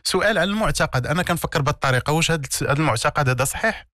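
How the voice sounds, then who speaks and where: A man's voice: on the high side, expressive, racing, sharp tone, no room sound, studio quality. A man with a Moroccan accent explaining self-development ideas as an interview guest, in an emphatic, didactic way with repeated key words and rhetorical questions. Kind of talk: conversational explanatory coaching in an interview.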